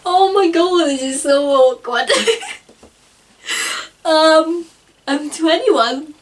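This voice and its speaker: Casting: woman, early twenties, high voice, slow unhurried delivery, giggly and awkward, a bit off-mic. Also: far from the mic, slight background noise. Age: 20s. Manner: giggly, self-conscious, rambling. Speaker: vlogger. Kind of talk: unscripted vlog, casual talk to camera.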